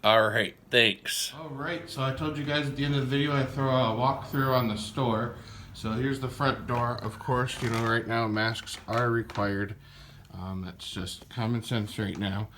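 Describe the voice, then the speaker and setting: A man in a small indoor craft store narrates a casual walkthrough, relaxed and rambling with frequent fillers. His pitch sits mid-range for a man. Faint noise runs under the recording. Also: a little slow. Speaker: podcast host giving a store tour.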